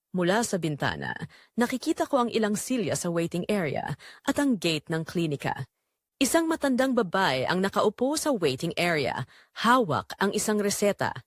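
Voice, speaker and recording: A woman narrating a first-person dramatic story in a reflective, measured way. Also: mid-range voice, dry recording, clean studio sound.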